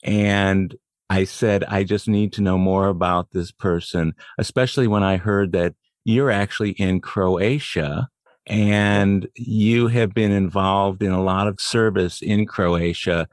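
A man hosting an interview podcast, speaking in a measured, articulate way. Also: sits low, fairly even intonation, no echo, clean studio sound. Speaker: podcast host. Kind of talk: scripted introduction, then interview.

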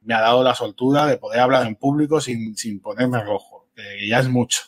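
A man's voice: medium pitch, steady tone, a little fast. A man with an accent from Spain talking casually as a podcast guest, telling things informally and repeating phrases as he goes. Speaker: interview guest, amateur filmmaker and former radio show co-host.

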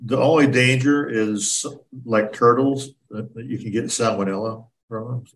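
A man's voice: medium pitch, fairly even intonation, moderate pace. A man co-hosting a podcast, bantering casually about the news and tossing in quips, slightly distant from the microphone. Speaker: podcast co-host.

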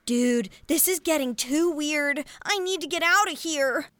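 A woman's voice: high-pitched, measured pace, fairly bright tone, no echo. A woman playing a bewildered, complaining child in a family audio drama.

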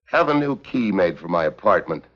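An actor playing a tough, wry American secret agent in a 1950s television crime drama, with clipped, deadpan delivery. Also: male voice, sits low, normal speed. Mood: businesslike, wry. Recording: dull, muffled 1950s television soundtrack.

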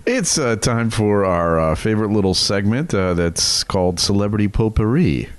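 A man's voice: fairly low pitch, animated, moderate pace, dry recording. A middle-aged man co-hosting a podcast, chatting casually and telling stories. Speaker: podcast co-host. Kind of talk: casual chat and storytelling.

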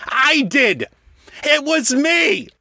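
A man voicing a brooding male dark lord who rants in anguish and breaks into sobs, in a storybook reading. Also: mid-range voice, animated, slow, husky, no room sound, studio quality, exaggerated voice.

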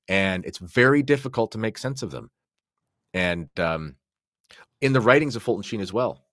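A man's voice: fairly low pitch, fairly bright tone, no echo, studio quality. A man from Canada speaking as a podcast guest in a casual religious discussion, explaining things in an earnest, conversational way.

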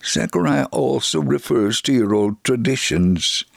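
A man giving an earnest, measured first-person reading of a missionary's letter, in scripted narration. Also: mid-range voice, animated, creaky, no room sound, clean studio sound.

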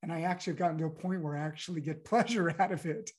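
A middle-aged man from the United States, a guest speaking reflectively in an interview, pausing often and filling with "you know". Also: fairly high pitch. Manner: thoughtful, halting, many "you know"s.

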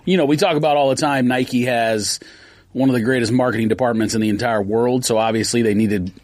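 A man co-hosting a podcast in relaxed, casual conversation. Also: mid-range voice, a little fast, dry recording, clean studio sound.

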